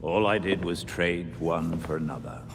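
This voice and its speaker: Deep-voiced, weary older butler. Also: male voice, steady tone. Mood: weary, reflective. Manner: measured, reflective. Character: weary, dignified older male butler and war veteran. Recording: reverberant room with faint noise.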